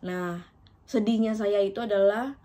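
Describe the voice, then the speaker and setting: A woman in her thirties from Indonesia speaks straight to her viewers in a personal vlog. Her voice sits at an ordinary middle pitch. She talks in an open, emotional way and laughs often as she speaks. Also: steady tone, a little slow, warm tone. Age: 30s. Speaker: vlogger.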